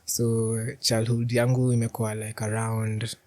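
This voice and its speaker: A man's voice: fairly low pitch, monotone, a little slow, a little mellow, no room sound. A man with a Kenyan accent talking casually as a podcast guest, switching between languages as he goes. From Nairobi, Kenya. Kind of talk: casual chat, mixing in Swahili. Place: podcast studio.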